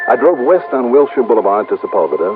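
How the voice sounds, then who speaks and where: An American actor playing a shrewd, businesslike insurance investigator in 1950s radio drama, measured and dryly probing. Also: male voice, medium pitch, sing-song, music in the background, lightly acted. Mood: brisk, matter-of-fact. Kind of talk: 1950s radio drama acting, with first-person case narration. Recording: muffled, noisy old radio broadcast recording.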